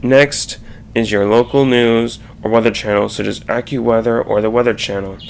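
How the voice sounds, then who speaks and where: A man narrating a slide presentation in a halting, explanatory way. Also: medium pitch, steady tone, vocal fry. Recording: faint background noise.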